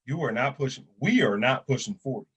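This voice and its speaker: A man's voice: mid-range voice, lively intonation, a little fast, a bit bright, breathy edge. A man in his thirties hosting a casual podcast chat, talking bluntly and animatedly and swearing freely. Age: 30s. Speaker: podcast host.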